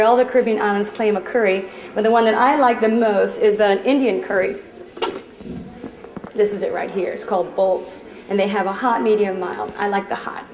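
A woman's voice: medium pitch, a little mellow. Chatty woman in an echoey store. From Trinidad. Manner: chatty and upbeat, jokes with the crowd. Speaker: chef giving a cooking demonstration. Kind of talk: live in-store cooking demo to an audience. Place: department store demo kitchen.

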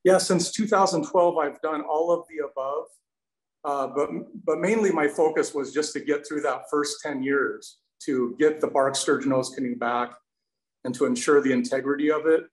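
A man's voice: a little high, fairly even intonation, moderate pace. A man in his forties answering audience questions on a video call from an indoor workshop, in an earnest, thankful way. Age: 40s. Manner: earnest, thankful, some restarts. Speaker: presenter, canoe maker. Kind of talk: casual Q&A answers. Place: indoor studio with windows. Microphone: video call, webcam. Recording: roomy echoey video call audio.

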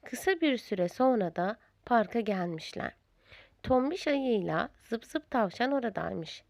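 A woman narrating a children's storybook in a deliberate, expressive storyteller's manner. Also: on the high side, creaky, no room sound, clean studio sound.

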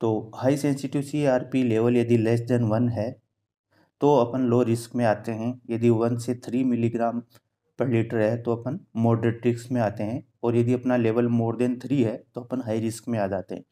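A man in his thirties, a cardiologist, calmly explaining health tests to camera in a plain indoor room, steadily reading out numbers and ranges. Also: mid-range voice, moderate pace. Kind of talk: educational health talk to camera. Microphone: camera at close framing, head and shoulders.

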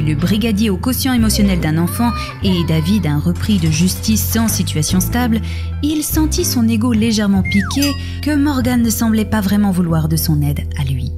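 A woman narrating scripted audio fiction in a literary storytelling style. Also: mid-range voice. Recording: music behind the voice.

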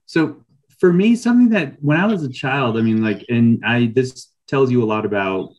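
A man's voice: medium pitch, animated, moderate pace, a little mellow. A middle-aged man talking casually as a writer guest on a video-call panel. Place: video call with virtual background.

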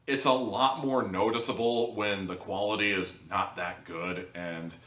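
Low-voiced man reviewing in an echoey room. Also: fairly even intonation, unhurried, fairly bright tone. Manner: blunt, sarcastic, exasperated. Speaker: audio drama reviewer, host. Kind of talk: casual opinionated review to camera. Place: living room, indoors. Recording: echoey room, distant microphone.

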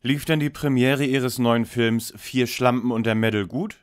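A man's voice: medium pitch, steady tone, unhurried, clean tone, natural voice. A man playing a self-assured, lecturing young detective leader in an audio drama parody. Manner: precise, pompous, lecturing.

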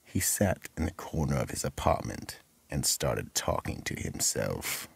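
A man delivering scripted narration for a radio mystery in a measured, grave manner. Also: extremely low pitch, big pitch swings, creaky, slight background noise.

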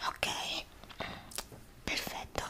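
A woman whispers slowly, close to the microphone. She plays a doctor in an ASMR roleplay, giving instructions to a patient in a gentle, hesitant way. Her delivery is hushed and unhurried throughout. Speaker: ASMR performer playing a doctor. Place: small indoor setup with dark backdrop. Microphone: desktop condenser microphone, close up.

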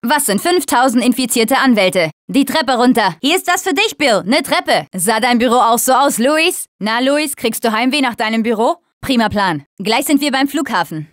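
A woman's voice: a little high, expressive, normal speed, hardly any pauses, dry recording, studio quality, natural voice. An actress voicing a tough, wry young female survivor of a zombie apocalypse in short video game callouts. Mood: determined, wry.

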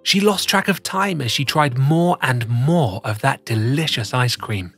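Picture-book narrator and host: expressive, very lively pitch, husky edge, soft music behind. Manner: expressive, deliberate storytelling for young children. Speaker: children's story reader and host.

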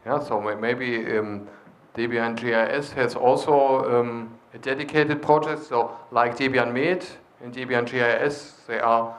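A man leads a discussion session at a conference, speaking into a handheld microphone in a large lecture hall. He encourages the people in the room and pauses often with fillers as he explains. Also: medium pitch, steady tone. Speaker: session leader.

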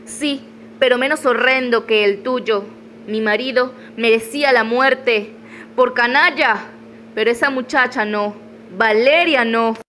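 A woman's voice: on the high side, measured pace, a bit bright, a little hiss or hum. A woman playing a defiant, scheming widow in a radio soap opera, taunting and dramatic. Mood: bitter, accusing.

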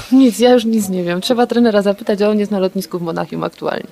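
Candid athlete woman, quick restarts. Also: mid-range voice, fairly even intonation, gravelly, dark tone. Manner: candid, quick, with many restarts and light laughs. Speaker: athlete being interviewed. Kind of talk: casual post-race interview.

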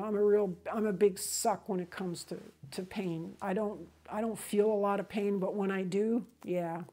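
A woman's voice: mid-range voice, dark tone. A woman in her fifties telling a personal hiking story to camera in a small room, rambling and correcting herself, livening up when she quotes people. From outside the United States. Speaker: hiking vlogger. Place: small room indoors with LED light bar.

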